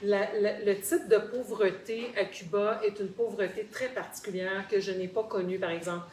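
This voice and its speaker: A Québécois woman speaks as a guest in a group discussion, in an articulate, explanatory way. Her voice sits at an average pitch and she repeats words for emphasis. The room is echoey, with faint noise behind her. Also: steady tone, moderate pace, a little mellow. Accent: Québécois French. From Quebec, Canada. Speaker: guest sharing personal experience. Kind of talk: conversational storytelling in a group discussion. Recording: roomy echo, faint background noise.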